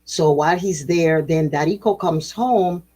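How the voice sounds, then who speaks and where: A woman with a low, husky voice telling a traditional tale in a relaxed, conversational way. Her delivery is animated, with sudden jumps in pitch, little laughs and quick self-corrections as she goes. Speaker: spiritual storyteller, host. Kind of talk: casual oral storytelling.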